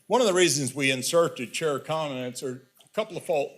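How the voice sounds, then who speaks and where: A man chairing a public utility board meeting, speaking loudly and plainly with frequent fillers, from a table microphone in a meeting room. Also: on the high side, lively intonation, brisk.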